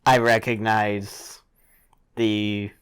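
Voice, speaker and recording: A man's voice: lowish voice, level tone, drawn-out words, clear, resonant tone. A man talking playfully in character as a tabletop game player, with a mock-solemn, grandiose manner.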